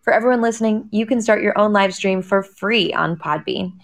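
A woman's voice: medium pitch, a little fast. A woman host delivering a scripted promotional introduction for a live session.

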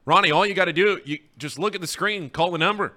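A man's voice: high voice, quick pace, pushed and bright. A man hosting a radio show in a casual, bantering sports-talk style.

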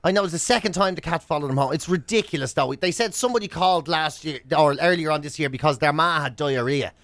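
A man's voice: fairly high pitch, fast talker, fairly bright tone, dry recording, clean studio sound. An Irish man co-hosting a radio show, telling stories in casual, colloquial comic banter. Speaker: radio show and podcast co-host. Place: close-miked dry studio.

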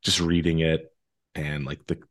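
A man's voice: a bass voice, level tone, unhurried. A man hosting a podcast, moving between scripted introductions and casual, self-correcting interview chat. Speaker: podcast host.

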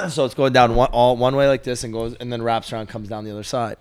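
A man, a guest telling a story in a casual podcast chat, loud and quick to laugh. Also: medium pitch, fairly even intonation, clear, resonant tone, no room sound. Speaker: guest, app co-founder.

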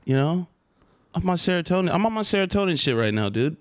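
Young male co-host, higher voice, lively swooping pitch, dry close sound. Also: quick pace, no echo. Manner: animated, joking banter. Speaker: podcast co-host. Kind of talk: casual chat.

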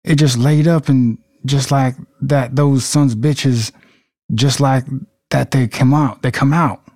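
A man's voice: mid-range voice, fairly even intonation, a little slow, a little mellow, dry recording, studio quality, natural voice. A man playing a terrified, folksy Southern fisherman with a put-on accent in an amateur dramatic reading. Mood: stunned.

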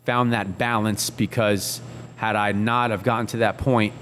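A man in his thirties talks about his life as a chef in a casual interview. His voice sits on the low side and his manner is easygoing and unhurried, full of fillers and restarts. A faint hiss runs under the recording. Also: steady tone, fairly bright tone.

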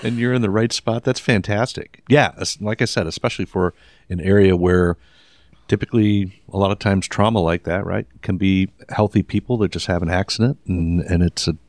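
A man's voice: deep voice, fairly even intonation, a little fast, darkish tone, no echo. A man co-hosting a podcast, chatting with guests in an enthusiastic, praising way. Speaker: podcast co-host. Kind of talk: casual interview chat.

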